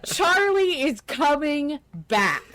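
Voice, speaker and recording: A woman co-hosting a podcast in casual fan discussion, speaking emphatically and quick to laugh. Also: high-pitched, very animated, fairly bright tone, slight background noise. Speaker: podcast co-host.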